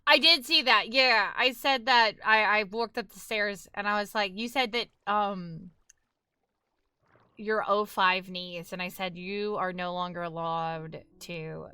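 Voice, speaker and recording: A woman's voice: mid-range voice, steady tone, measured pace, sharp tone, clean tone, no echo, studio quality. A young woman with a New York accent, chatting casually with her live-stream audience while gaming, talkative and laughing often.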